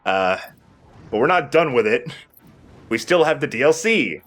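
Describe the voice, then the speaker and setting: A man's voice: mid-range voice, big pitch swings, unhurried, a bit bright. A man giving casual, rambling and opinionated video game commentary as the host of a let's play.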